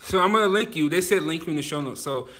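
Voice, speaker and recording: A man's voice: a little high, fast talker, fairly bright tone. A man in his thirties from Virginia, hosting a casual livestream from a photo studio on a phone held at arm's length, often laughing and reading viewer comments aloud. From Virginia, USA. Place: photo studio in a garage, indoors.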